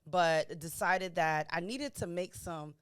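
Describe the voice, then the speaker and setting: Guest, woman around 30, from Miami; lively storyteller, laughs a lot; low-ish, smooth, dry close sound. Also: takes it slowly, dry recording. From Miami, USA. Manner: chatty and animated, laughs often, quotes her own thoughts. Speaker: podcast guest. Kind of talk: casual interview chat.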